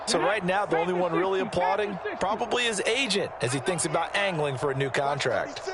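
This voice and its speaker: A man's voice: high voice, a little fast, a loud crowd, close to an everyday voice. A man giving conversational, explanatory color commentary as an opinionated football analyst in a video game. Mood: assured, analytical.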